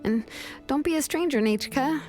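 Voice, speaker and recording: A woman's voice: high voice, moderate pace, a little mellow, faint music behind, natural voice. A woman playing an easygoing, encouraging young craftswoman in a game, casual and reassuring in delivery. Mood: kind, reassuring. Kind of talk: game voice acting.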